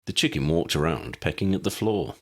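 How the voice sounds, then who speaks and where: A man's voice: sits low, expressive, fairly quick, a bit bright. A man reading a scripted story aloud as an audiobook narrator.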